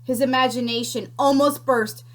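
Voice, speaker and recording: A woman teacher reading a picture book aloud to children, expressive and unhurried, pausing to ask questions. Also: high-pitched.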